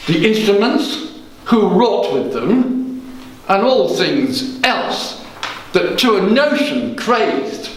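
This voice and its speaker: A man performs as a guilty, scheming king in a Shakespearean tragedy on stage. His voice sits high and he declaims slowly, with bitter, brooding weight. The sound is distant, swimming in heavy room echo. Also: exaggerated voice. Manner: slow, declamatory, theatrical. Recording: echoey room, distant microphone.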